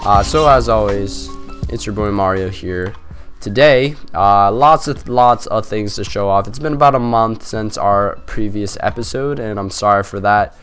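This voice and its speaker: A man's voice: lowish voice, moderate pace, a bit bright, clear, resonant tone, soft background music. A young man with a stuffy, nasal cold, chatting casually as he hosts a gaming video with lots of fillers. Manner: rambling, chatty, many fillers. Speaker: gaming channel host. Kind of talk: casual gameplay commentary.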